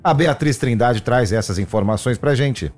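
A man's voice: mid-range voice, moderate pace, soft background music. Male news co-anchor. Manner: relaxed, brief banter. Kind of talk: live news presenting.

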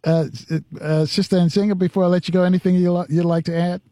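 Mellow male radio host, dry studio sound. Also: high-pitched, little pitch movement, dry recording. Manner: halting, repeats words as he goes. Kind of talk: live radio talk show interviewing.

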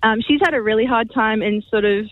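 Chatty mum on a phone line. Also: female voice, fairly high pitch, brisk, no room sound, soft background music. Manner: warm, chatty, laughing easily. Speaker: caller, mother.

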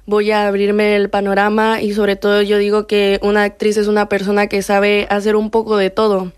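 A woman's voice: mid-range voice, completely flat delivery, moderate pace, clear, resonant tone. A nineteen-year-old woman with a Mexican accent, talking casually about herself as an interview guest. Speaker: interview guest, youth program participant. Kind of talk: casual personal account in an interview.

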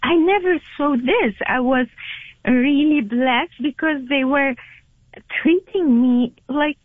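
A woman with a French accent calls in on a tinny phone line, speaking slowly and earnestly. She often repeats and restarts words as she searches for them in English. Her voice is high and sits close and dry. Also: dry recording. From immigrant to Canada. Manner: earnest, halting, many repetitions and restarts. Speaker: caller. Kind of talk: phone-in call.

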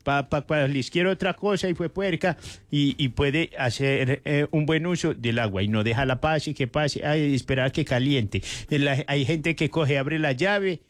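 A man's voice: on the high side, measured pace, no room sound. A man with a Paisa Colombian accent co-hosting a radio show with slangy, folksy commentary. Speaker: radio co-host. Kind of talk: casual chat commentary. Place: radio studio.

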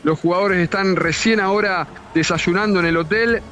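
High-voiced Argentine field reporter. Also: male voice, normal speed, slight background noise. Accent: Argentine Spanish. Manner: steady, informative. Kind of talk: live on-site sports report.

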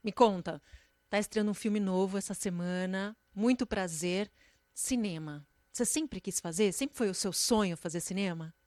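A woman's voice: mid-range voice, normal speed, a little mellow, dry recording. A woman interviewer asking questions in a conversational broadcast interview.

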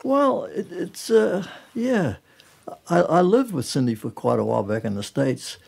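Gravelly, husky older man from New Zealand. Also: fairly high pitch, very animated, measured pace, darkish tone. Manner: emphatic, colloquial, self-interrupting. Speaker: jazz pianist, studio guest. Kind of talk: casual conversational interview, telling anecdotes.

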